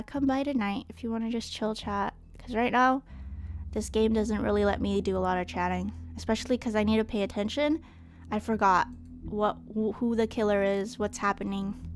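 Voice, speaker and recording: A woman's voice: mid-range voice, steady tone, fairly quick, a little hiss or hum. A congested woman streamer chatting casually and rambling to her viewers. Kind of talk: casual live stream chat.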